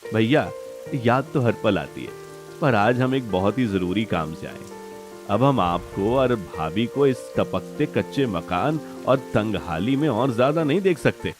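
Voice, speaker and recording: A man's voice: fairly low pitch, expressive, a little slow. Male actor as worried younger brother in cartoon melodrama. Earnest, pleading, respectful, with music underneath. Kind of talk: Hindi cartoon family melodrama.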